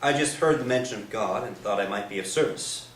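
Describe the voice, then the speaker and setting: An actor in a staged play reading playing a mild, bashful priest, polite and hesitant, gently eager to help. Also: male voice, lowish voice, a little fast, close to an everyday voice. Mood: gently helpful. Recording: echoey stage, distant mic, noisy room.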